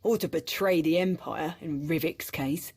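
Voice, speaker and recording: A woman's voice: low voice, a little slow, a little mellow, breathy edge. A woman playing a weary, sardonic military officer in a video game cutscene, grumbling in an informal way. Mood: bitter, weary.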